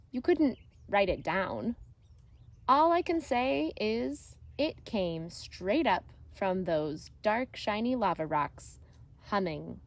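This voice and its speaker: Female storybook reader, teacherly, very unhurried, mid-pitched, expressive phrasing for children. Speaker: elementary teacher reading a picture book aloud. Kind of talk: storybook read-aloud narration for children. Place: outdoors.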